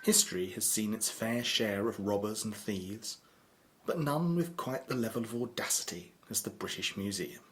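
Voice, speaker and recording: An actor as a wry male storyteller with a lowish voice. He delivers scripted narration for an adventure radio play. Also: normal speed, fairly bright tone, lightly acted. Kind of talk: scripted narration for audio drama.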